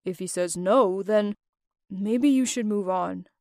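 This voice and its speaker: Woman doing a gentle, caring young man. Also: medium pitch, slow, no echo, studio quality, voice pushed down. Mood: earnest. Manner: soft, earnest, reassuring.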